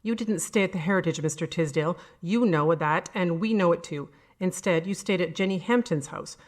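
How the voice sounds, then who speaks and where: Lady doing smug prosecutor in audiobook, needling, accusing, lowish. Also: brisk, natural voice. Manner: accusing, mocking. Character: smug, needling male prosecutor cross-examining.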